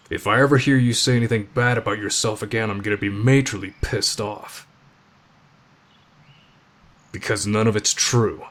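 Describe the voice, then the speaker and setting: A man acting in an ASMR roleplay as a gruff, aloof teenage delinquent, deadpan and terse but protective of a friend. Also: lowish voice. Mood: firm, annoyed.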